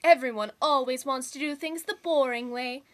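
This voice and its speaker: A woman's voice: sits high, moderate pace, close to an everyday voice. A woman voicing a bossy, impatient young troll girl with a cruel streak, theatrical and sarcastic, in an amateur fandub. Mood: needling. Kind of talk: amateur fandub audition acting.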